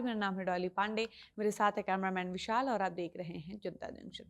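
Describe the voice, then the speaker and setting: A woman's voice: on the high side, a bit bright. A woman presenting an online news report, explaining the story as she retells it.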